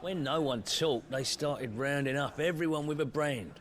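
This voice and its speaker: A man's voice: on the high side, moderate pace, a crowd in the background, natural voice. A man playing an aggrieved villager in a video game cutscene. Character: aggrieved male villager recounting persecution.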